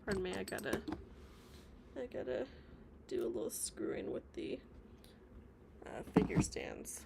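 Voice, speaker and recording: A woman's voice: on the low side, wildly swooping pitch, a little slow, vocal fry, in a whisper. A woman live-streaming her stop-motion animation work, chatting casually, reading comments and rambling with mumbled asides. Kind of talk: casual livestream chat reading viewer comments. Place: home workspace, animating on the floor.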